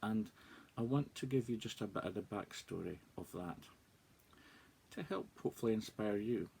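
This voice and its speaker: A man's voice: on the low side, moderate pace, vocal fry, darkish tone, breathy edge. A Scottish man in his forties, a life coach, speaking candidly and reflectively in a personal vlog. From northeast Scotland. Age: 40s.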